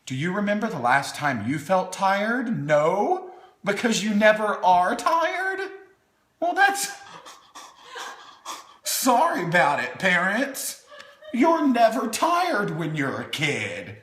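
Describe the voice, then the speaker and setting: A man's voice: high-pitched, huge pitch swings, slow, a bit bright. A man hosting a playful storytime, reading a children's book with wry, chatty asides in a theatrical way, as if talking to small children. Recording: echoey room.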